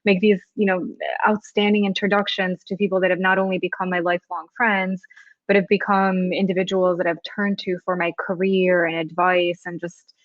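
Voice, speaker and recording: A woman's voice: fairly low pitch, little pitch movement, moderate pace, no echo. A woman from Serbia co-hosting a conversational show and introducing a guest in an effusive manner over an online call. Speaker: podcast co-host, organizational psychologist. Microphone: remote online call. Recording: dull, lo-fi call audio.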